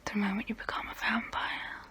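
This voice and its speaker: A woman playing a tormented, confessional female vampire in an ASMR role play, whispering softly and breathily up close to the mic. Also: lightly acted. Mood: wistful, resigned. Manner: slow, hushed, deliberate, pausing between phrases. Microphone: close-up microphone.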